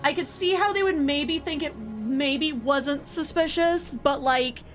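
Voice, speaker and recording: Woman, streamer, high voice, rambling, filler-heavy, faint background music. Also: slow pace. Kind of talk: casual livestream chat.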